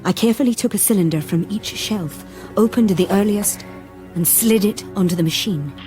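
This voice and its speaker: A woman's voice: medium pitch, moderate pace, over music, close to an everyday voice. An actress playing a determined Victorian woman who narrates a gothic radio drama in the first person. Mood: tense, intent.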